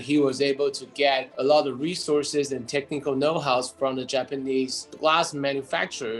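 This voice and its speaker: Taiwan-raised guy, exec answering interview qs, slow and repeats himself, faint music under. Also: a little high. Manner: unhurried, repeats words and restarts phrases. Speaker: company CEO, interview guest.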